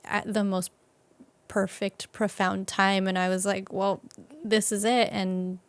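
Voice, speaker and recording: A young adult woman with a creaky voice, talking informally as an interview guest and thinking aloud with frequent "like". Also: medium pitch, little pitch movement, takes it slowly, no echo. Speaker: interview guest, business owner. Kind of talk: casual conversational interview.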